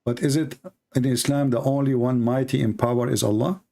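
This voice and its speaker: A man's voice: medium pitch, fairly even intonation, brisk, vocal fry, dark tone. A man whose first language is Arabic, hosting a live debate and pressing a caller with repeated questions. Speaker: host.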